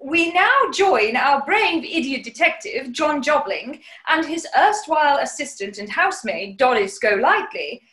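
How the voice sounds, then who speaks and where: A woman's voice: sits high, big pitch swings, unhurried, hardly any pauses, sharp tone, echoey room, heavily acted. A woman playing a plucky, proper housemaid who also narrates, in a 1940s-style comedy radio play. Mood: announcing.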